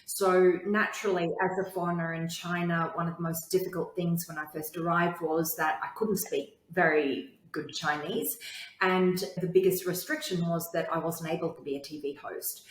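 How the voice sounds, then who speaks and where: A woman's voice: fairly low pitch, steady tone, moderate pace, roomy sound, rough recording. A woman being interviewed as an entrepreneur and TV host, telling her story in an articulate, confident way.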